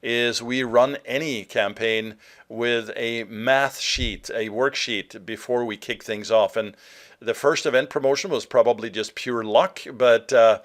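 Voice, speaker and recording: A man from Norway with a mid-pitched voice leads a webinar as a marketer addressing his viewers directly. His delivery is conversational and a little halting, peppered with fillers as he explains his points. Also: fairly even intonation, a bit bright. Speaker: presenter, digital marketer. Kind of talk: webinar sales presentation.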